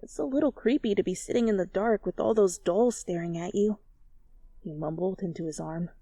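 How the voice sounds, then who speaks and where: A woman giving an expressive, theatrical amateur reading of fan fiction as narrator. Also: medium pitch, brisk, no echo. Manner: animated, theatrical.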